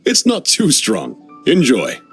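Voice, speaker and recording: A man voicing a busy, obliging restaurant chef in an anime-style video game, with an expressive delivery. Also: mid-range voice, slow, breathy edge, soft background music, exaggerated voice.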